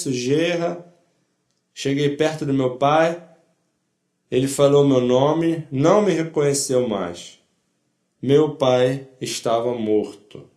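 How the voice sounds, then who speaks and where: Slow-speaking elderly man with foreign accent, echoey. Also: medium pitch, a little mellow. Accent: foreign accent in Portuguese. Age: older. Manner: deliberate, emphatic, repeats short phrases. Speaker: Holocaust survivor giving testimony. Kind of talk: spoken first-person testimony to an audience.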